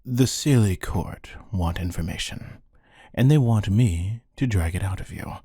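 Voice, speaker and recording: A man playing a bored, menacing hired interrogator in an ASMR roleplay, speaking in a deadpan, condescending, taunting way with a husky voice. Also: sits low, measured pace, no echo, clean studio sound, full character voice. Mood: dry, sardonic.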